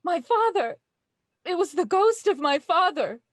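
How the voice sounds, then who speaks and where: A woman's voice: extremely high pitch, very animated, unhurried, dry recording, studio quality, heavily acted. A woman playing a shaken young Victorian lady in a radio drama. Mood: shaken, insistent.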